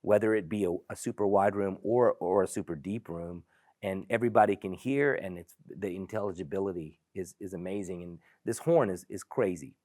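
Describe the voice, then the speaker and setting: A man with a low voice hosts a product discussion and leads it along with enthusiasm. His voice is recorded dry and close, with little room sound. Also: a little fast, darkish tone, no echo. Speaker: host.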